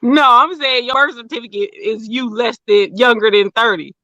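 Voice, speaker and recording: Bright, dry-voiced rambling podcast host. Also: mid-range voice, moderate pace, no room sound, muffled recording. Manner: rambling, loose, lots of fillers. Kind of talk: casual chat.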